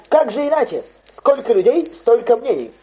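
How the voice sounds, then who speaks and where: Woman cutting in with dry jokes, kinda high voice, warm and mellow. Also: very animated, moderate pace, a little hiss or hum, low-quality recording. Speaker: co-presenter in a comedy skit. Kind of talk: parody radio program.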